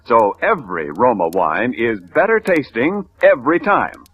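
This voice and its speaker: A male announcer reading sponsor copy in smooth, salesmanlike 1940s radio style. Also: medium pitch, sing-song, normal speed. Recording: dull old radio broadcast with music.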